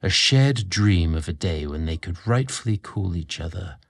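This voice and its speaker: A man narrating a scripted romantic story in a measured, expressive storybook manner. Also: sits low, gravelly.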